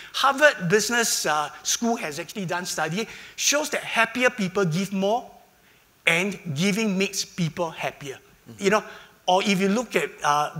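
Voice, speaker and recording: A man with a high, bright voice speaks on a conference panel. He talks enthusiastically and fills his sentences with "you know" and "actually". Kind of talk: panel discussion.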